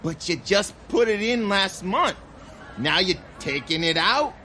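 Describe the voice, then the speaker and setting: An actor playing a chatty, bemused hospital security guard in a humorous audio drama, with folksy, incredulous talk. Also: male voice, high voice, lively intonation, a little slow, pushed and bright, slight background noise, full character voice. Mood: puzzled.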